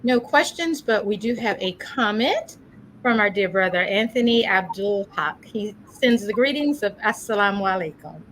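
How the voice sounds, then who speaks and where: A woman's voice: medium pitch, normal speed, rough recording. A woman hosting a community religious program, speaking informally in an encouraging, courteous way. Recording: steady background noise.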